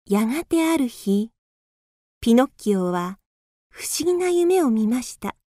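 High-voiced woman narrating a story. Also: sing-song, a little slow, warm tone, no echo. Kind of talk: scripted storybook narration for radio drama.

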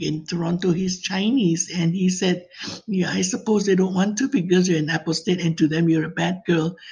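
Older woman caller, breathy, pleading, anxious run-on voicemail. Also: on the low side, a little mellow. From Malaysia. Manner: worried, pleading, rushed run-on telling. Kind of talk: phone voicemail. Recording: voicemail phone line.

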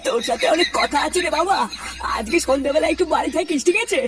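A man voicing a hard-drinking, henpecked old father in a comedy cartoon, crude and blustering, with a pitched-up voice. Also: fast talker, fairly bright tone, far from the mic. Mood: complaining. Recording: music bed behind the voice.

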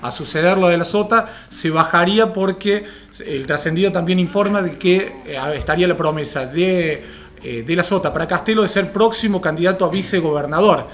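A man's voice: sits high, brisk, a bit bright. A man in his thirties with an Argentine accent, reporting political news conversationally as a panel commentator. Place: small TV studio at a panel table.

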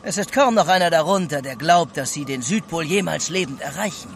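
Casting: insinuating mutineer sailor, gravelly yet highish, radio play. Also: male voice, animated, brisk, a little hiss or hum. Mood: sly. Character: sly, defensive sailor turned mutineer, accused of cowardice. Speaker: actor in an audio drama. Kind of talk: German radio play acting.

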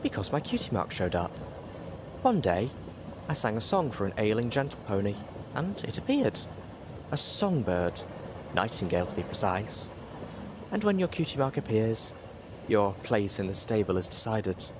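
A man reads as a genteel young mare who sings, wistful yet defiant. The storybook character speaks formally and with measure, over clear background noise. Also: fairly low pitch, sing-song. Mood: wistful, resigned. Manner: formal, measured, matter-of-fact. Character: refined young female pony singer, wistful, defiant.

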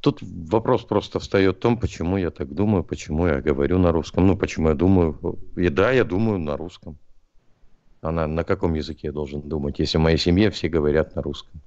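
A man's voice: low-pitched, fairly quick, darkish tone, lo-fi sound. A man co-hosting a live stream, casually reading out viewer comments and answering them. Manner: chatty, reads viewer comments aloud, laughs. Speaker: stream co-host.

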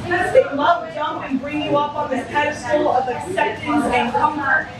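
Woman, high voice, spoken word poet, intense, reading from a book, reverberant bar, distant mic. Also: steady tone, normal speed, crowd noise. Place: small bar, indoors. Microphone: stand mic, filmed by a far camera. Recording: echoey bar, from far off. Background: bar ambience.

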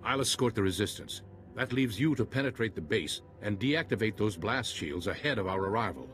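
Grave, low-voiced Jedi commander, music behind. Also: male voice, lively intonation, brisk, a bit bright. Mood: composed, serious. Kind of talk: video game cutscene acting.

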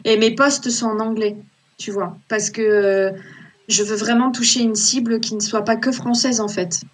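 A woman's voice: on the high side, steady tone, normal speed, slight background noise. A woman in her thirties, an archaeological illustrator, talking casually on a video call from a small room at home, with many fillers and restarts. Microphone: laptop webcam on a video call.

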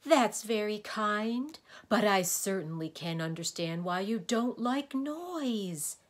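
A woman voicing an emotional, boisterous male smog creature in a storybook reading, bellowing and over-excited. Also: a little high, takes it slowly, voice pushed up. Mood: tearful.

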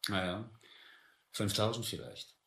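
Shady old-radio sea captain, guarded n blustery, hard bright voice, echoey room. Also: male voice, on the low side, big pitch swings, unhurried, gravelly, heavily acted. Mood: wary. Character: guarded rogue sea captain posing as island master. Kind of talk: radio drama acting. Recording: roomy old radio-play recording.

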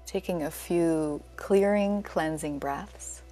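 Low, slow-spoken woman guiding meditation. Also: faint music behind. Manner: soothing, unhurried, gently inviting. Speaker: yoga nidra teacher leading a guided relaxation. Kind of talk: guided meditation.

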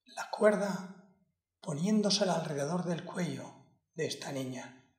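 An impassioned male defense lawyer, played by a man addresses a jury in a dramatic monologue. His voice sits a little high and moves very slowly, each short phrase set down with solemn emphasis. The room around him rings with clear echo. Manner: slow, deliberate, emphatic, broken into short phrases. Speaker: acting student. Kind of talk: dramatic courtroom monologue, acted to camera. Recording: echoey room.

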